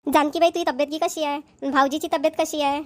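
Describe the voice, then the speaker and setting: Cartoon village sister, middle-aged, female performer, high voice, Vidarbha Marathi accent, talkative, concerned and full of questions. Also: steady tone, fast, darkish tone, natural voice. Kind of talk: amateur cartoon dialogue.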